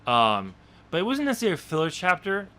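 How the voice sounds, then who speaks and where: Bright-voiced man chatting casually. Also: sits high, wildly swooping pitch, quick pace. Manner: chatty, laughs often, rambling with many fillers. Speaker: podcast host and author. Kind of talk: casual chat about his novel draft.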